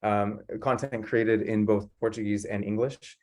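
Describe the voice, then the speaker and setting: A man's voice: on the low side, fairly even intonation, a little slow, a little mellow. A man from San Diego, California giving an earnest conference presentation over a video call, with frequent ums and uhs. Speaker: guest presenter.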